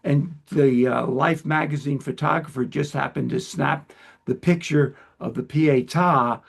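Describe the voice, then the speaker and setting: A man in his seventies hosting a casual interview, talking in a rambling, halting and self-deprecating way. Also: fairly high pitch, fairly even intonation, gravelly. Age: 70s. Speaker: host interviewer.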